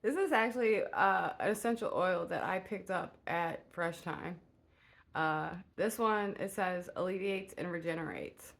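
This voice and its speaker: A woman with a low, soft voice talks her way through a tarot reading in a relaxed, unhurried way. She meanders and fills her pauses with little hesitations. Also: gravelly. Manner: rambling and meandering, many filler "uh"s. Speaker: tarot reader. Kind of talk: casual spoken tarot reading.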